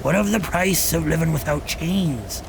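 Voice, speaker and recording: A man voicing a cursed, ghostly old pirate captain, telling grim tales in a theatrical, gravelly pirate dialect with an ominous, grandiose manner. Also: on the high side, background noise. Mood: ominous, challenging. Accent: pirate-style dialect. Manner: ominous, grandiose, deliberate rhetorical delivery. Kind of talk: theatrical scripted monologue.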